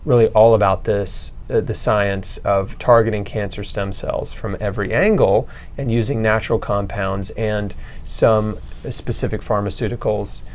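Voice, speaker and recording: A man's voice: on the low side, fairly even intonation, normal speed, slight background noise. A man hosting an interview in a casual, colloquial, conversational way. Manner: informal, colloquial phrasing. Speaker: interviewer, host.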